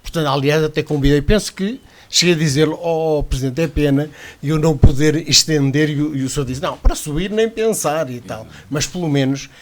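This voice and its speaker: A man with a Portuguese accent and a slightly raised pitch, rambling through his point on a radio sports panel. He corrects himself often and talks with pride. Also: brisk. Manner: rambling, self-correcting, proud. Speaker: panel commentator.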